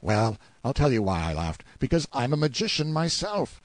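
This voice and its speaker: A man's voice: medium pitch, very animated, moderate pace, dry recording, voice pushed up, close to an everyday voice. A man playing a brash, sardonic, boastful hero in an audiobook, with emphatic, exclamatory delivery. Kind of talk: audiobook character acting.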